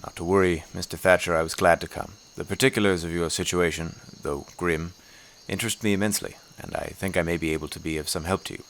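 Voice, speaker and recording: A man playing an inquisitive, educated professor in an audio horror play, speaking politely, formally and a little haltingly. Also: low-pitched, steady tone, a bit bright. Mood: curious, polite. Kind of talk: audio horror drama acting. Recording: insect-like ambient noise behind dialogue.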